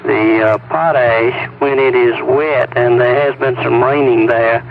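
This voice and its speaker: A male civil defense official explaining carefully and haltingly, with many pauses. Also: medium pitch, fairly even intonation, creaky. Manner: hesitant, pausing, careful. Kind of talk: halting spoken explanation to press. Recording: noisy phone-like 1970s radio clip.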